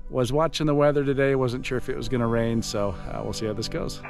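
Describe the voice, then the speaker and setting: Low-key man vlogging outdoors on a hike. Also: fast talker, gravelly, soft background music. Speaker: amateur radio hobbyist and hiking vlogger. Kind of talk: casual outdoor vlog narration. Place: outdoors on a forested hiking trail.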